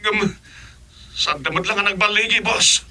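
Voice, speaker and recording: A man's voice: high-pitched, normal speed, sharp tone. A man with an Ilocano accent playing an anxious underling reporting to his boss in a radio drama.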